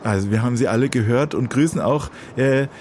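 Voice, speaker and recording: A man's voice: mid-range voice, a little fast, a little mellow. A man hosting a live radio phone-in in a conversational, courteous and sympathetic way. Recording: faint background noise.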